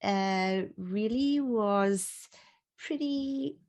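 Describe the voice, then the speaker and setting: A woman's voice: mid-range voice, extremely slow, a little mellow. A woman from Africa, a guest telling her story in an interview, reflective and full of fillers. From South Africa. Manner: reflective, many fillers, laughs easily.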